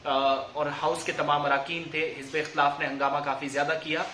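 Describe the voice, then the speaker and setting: A male news presenter with a bright, forceful voice, pitched a little high. He reports in a wordy, explanatory way, restating points in other words, with fairly even intonation. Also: normal speed, echoey room, a little hiss or hum.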